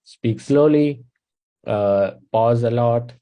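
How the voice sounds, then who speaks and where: A man's voice: mid-range voice, slow pace, lo-fi sound. A young man from India with an Indian English accent, a stand-up comedian explaining his craft in a casual interview, step by step with many fillers. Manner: explains in steady steps, with frequent uh fillers.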